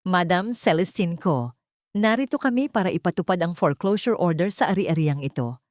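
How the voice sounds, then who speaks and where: A woman's voice: fairly low pitch, expressive, normal speed, dry recording, clean studio sound, natural voice. A woman with a Filipino accent voicing a cold, formal male lawyer in an audio drama, stating things without emotion. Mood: cold, official.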